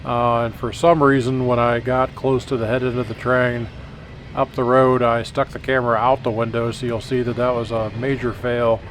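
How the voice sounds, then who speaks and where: A man gives a casual, conversational video narration as a channel host. His voice sits at a medium pitch, with some outdoor traffic-like noise faintly behind it. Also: level tone, normal speed.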